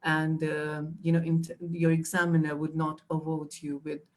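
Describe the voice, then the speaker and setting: A woman's voice: deep voice, completely flat delivery, normal speed, a little mellow. A young woman, teaching exam tips patiently with many fillers and restarts. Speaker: IELTS trainer. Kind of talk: live online teaching.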